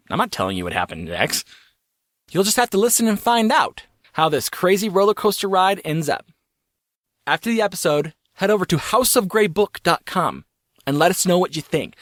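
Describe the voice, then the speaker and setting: A man's voice: sits high, a bit bright, no room sound, studio quality. A man hosting a fiction podcast, delivering a scripted, upbeat intro in a playful announcer manner. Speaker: podcast host introducing an episode.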